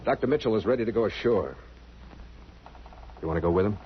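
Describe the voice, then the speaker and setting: An actor playing a grave, troubled ship's captain who also narrates, in old-time radio drama style, clipped and earnest. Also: male voice, fairly low pitch, animated, fairly quick, slight background noise, natural voice. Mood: businesslike, restrained. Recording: muffled old radio recording with music.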